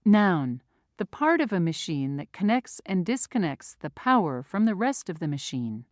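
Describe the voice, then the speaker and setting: A woman's voice: lowish voice, very animated, moderate pace, no room sound, clean studio sound. A woman reading vocabulary words and their definitions aloud with careful dictionary-style enunciation. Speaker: vocabulary reader. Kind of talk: slow, clear read-aloud of word definitions.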